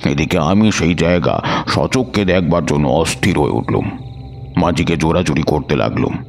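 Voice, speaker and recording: An actor playing an aging tantric storyteller who muses slowly, in old-fashioned phrasing, about his past. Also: very deep voice, creaky, faint music behind. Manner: slow, musing, old-fashioned speech. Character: aging male tantric storyteller, weary and reflective. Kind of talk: audio drama narration and dialogue.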